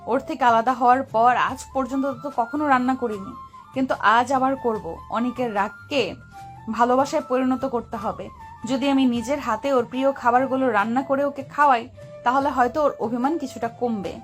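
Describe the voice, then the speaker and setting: Casting: woman to play young wealthy lady boss in love, high-pitched, pleading and strong-willed, audio romance with background music. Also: fairly even intonation, a little fast, close to an everyday voice. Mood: determined, hopeful. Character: heartbroken but determined young rich woman boss in love. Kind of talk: romantic audio story acting. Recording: music behind the voice.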